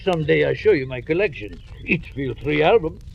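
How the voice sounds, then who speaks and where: An actor playing a genial, chatty French fishing guide with a French accent and broken English, in old-time radio style. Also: male voice, a little high, lively intonation, fairly quick, vocal fry, slightly rough, full character voice. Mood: jovial, chatty. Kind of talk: 1940s radio acting. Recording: muffled, noisy old radio recording.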